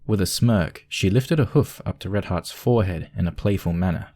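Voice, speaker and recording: A man's voice: low-pitched, moderate pace, mellow. A man narrating a scripted piece of fiction as a storyteller.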